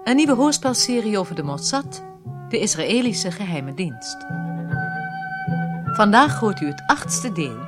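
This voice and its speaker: A woman's voice: medium pitch, big pitch swings, measured pace, pauses often. A woman announcing a radio drama series in a scripted style, with brass music behind her. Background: loud brass music.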